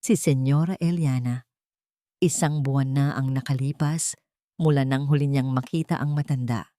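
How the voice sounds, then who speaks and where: A woman's voice: rumbling low, fairly even intonation, normal speed, dark tone, no room sound. A woman with a Filipino accent reading a scripted, dramatic suspense story as its narrator.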